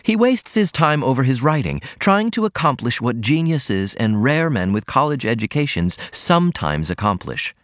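A man reading aloud, voicing a proper middle-aged mother who speaks in measured, disapproving tones. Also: mid-range voice, big pitch swings, fairly bright tone, no room sound, clean studio sound, pitched above the performer's own voice. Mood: stern.

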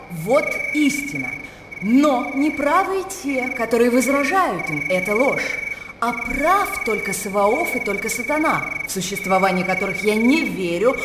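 An actress playing an imperious, coolly mocking educated woman in a radio drama, calm and superior in manner. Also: on the high side, echoey room, big-room sound, background noise, close to an everyday voice. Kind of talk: radio drama acting, literary dialogue.

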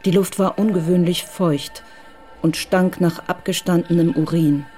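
A narrator telling a crime radio play in even, measured, scripted narration. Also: mid-range voice, faint music behind.

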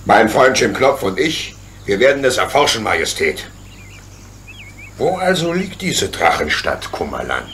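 An actor playing a sensible, hearty locomotive driver with a creaky voice and plain-spoken manner in a children's radio play. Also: male voice, fairly low pitch, big pitch swings, a little slow, fairly bright tone, slight background noise, exaggerated voice. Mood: resolute, thoughtful.

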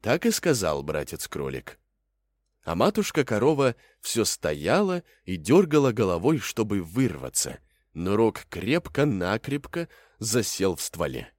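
A man's voice: lowish voice, huge pitch swings, measured pace, no echo, clean studio sound. A man narrating a fairy tale as a storyteller, in a folksy, theatrical way.

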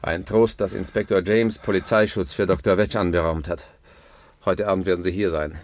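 An actor playing a defiant, level-headed captive journalist in a 1960s German radio thriller, firm and dryly sarcastic. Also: male voice, low-pitched, fairly even intonation, moderate pace, a little mellow, a little hiss or hum. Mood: grim, dry.

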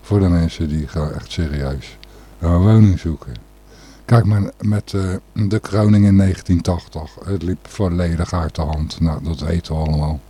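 A man's voice: low-pitched, steady tone, moderate pace, dark tone, husky. A man hosting a podcast in rambling, casual solo talk, hesitant and a little distracted. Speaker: podcast host.

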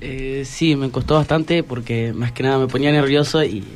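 A man's voice: mid-range voice, steady tone, moderate pace, faint music behind. A teenage boy speaking casually and shyly as a student guest, repeating his phrases. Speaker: secondary school student guest. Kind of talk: casual chat.

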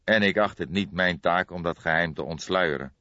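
A man voicing a weary, reflective old detective who speaks in a deliberate, solemn way in an audiobook. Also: low voice, sharp tone. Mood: grave. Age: older. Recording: boxy, echoey, dull recording.

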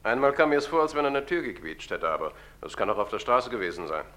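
Ein Schauspieler spielt in einem Krimihörspiel einen pflichtbewussten Revierbeamten, der über eine entfernt klingende Telefonleitung Meldung macht. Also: male voice, on the low side, sing-song, sharp tone. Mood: dutiful, reporting. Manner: brisk, obedient reporting. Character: dutiful male police station officer reporting by telephone. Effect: distant telephone voice. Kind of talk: German radio crime play acting.

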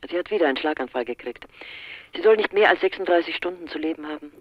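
An actress playing a prim, practical wife in a radio play, brisk and matter-of-fact and fussy over details. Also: female voice, lowish voice, lively intonation, dry recording, muffled recording. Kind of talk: German radio drama acting.

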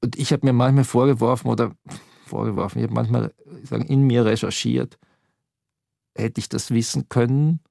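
A man's voice: medium pitch, steady tone, moderate pace, gravelly, mellow, no echo. A man interviewed about the past who talks reflectively and searches for his words. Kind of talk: reminiscing interview. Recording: dry close studio recording.

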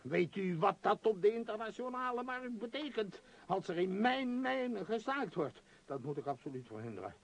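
An impatient, self-pitying magnate in a radio play. His voice sits a little high with a husky edge. He blusters out commands and mutters between them. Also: big pitch swings, normal speed, hardly any pauses, full character voice. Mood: exasperated.

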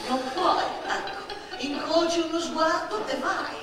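Pompous young altar server, northern Italian, played by a woman, fussily dignified, high voice, distant reverberant stage sound. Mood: fussy, aggrieved. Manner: fussy, dignified, halting interjections. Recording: echoey hall, distant mic, music behind.

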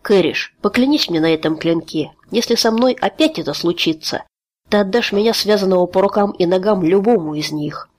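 A woman voicing a weary, grim male executioner who speaks slowly, quietly and with resignation, in an audiobook. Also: mid-range voice, expressive, lightly acted. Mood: grave.

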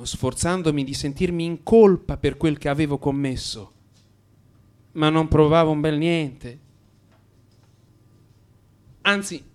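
A man's voice: fairly high pitch, a little mellow, close to an everyday voice. Brooding, troubled man played by an actor, confessing. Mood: detached, confessional. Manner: brooding, halting, introspective, repeating phrases. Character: troubled man in crisis, melancholy, self-blaming, confessing. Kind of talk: radio drama monologue.

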